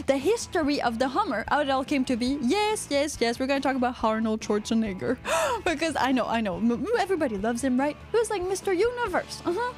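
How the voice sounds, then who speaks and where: Woman, Quebecois accent, podcast co-host, rambling, joking, high voice, faint background music. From Quebec, Canada. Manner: rambling, joking, reading out live chat comments. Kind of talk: casual livestream podcast chat.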